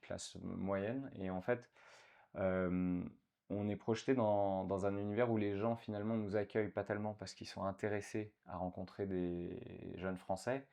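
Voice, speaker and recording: A man's voice: fairly low pitch, fairly even intonation, normal speed, creaky, mellow. A man in his thirties from France, a guest in a casual interview, talking with many fillers and restarts.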